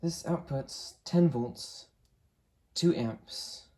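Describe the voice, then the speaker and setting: A man's voice: fairly high pitch, drawn-out words, a little mellow. A young adult man giving casual unboxing commentary as he handles the item at home. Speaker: unboxing video maker. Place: small carpeted home room with computer desk. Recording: clear background noise, crinkling and clicks. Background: noise, crinkling packaging, clicks.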